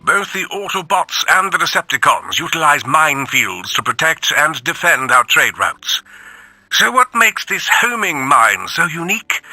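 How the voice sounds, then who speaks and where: High-ish, sharp robot officer. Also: male voice, very animated, unhurried, dry recording, faint music behind, exaggerated voice, low-quality recording. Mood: warning. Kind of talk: scripted video game audio log.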